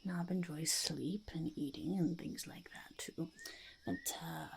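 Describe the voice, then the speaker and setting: Casting: woman to play sly goblin boss for ASMR roleplay, whispery with very heavy vocal fry, pompous yet halting, slight background noise. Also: fairly low pitch, big pitch swings, exaggerated voice, rough recording. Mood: evasive, scheming. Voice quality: whispery, breathy, creaky. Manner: halting, speaks of self in third person, mock-formal. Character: scheming, self-important goblin interviewing would-be henchmen.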